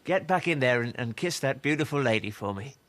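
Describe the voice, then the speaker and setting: An actor playing a younger comedian in a television drama, lonely and wistful, hesitantly putting on a brave face. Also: male voice, mid-range voice, expressive, fairly quick, fairly bright tone, a little hiss or hum, close to an everyday voice. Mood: brave, affectionate. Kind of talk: television period drama dialogue.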